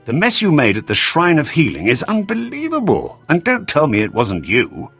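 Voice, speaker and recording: A resentful, weary ambassador in a game drama. His husky voice is formal and aggrieved, swinging widely in pitch, over faint music. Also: huge pitch swings, normal speed, fairly bright tone, heavily acted. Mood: indignant, resigned. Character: bitter, disgraced senior male ambassador, weary and indignant. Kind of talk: dramatic video game voice acting.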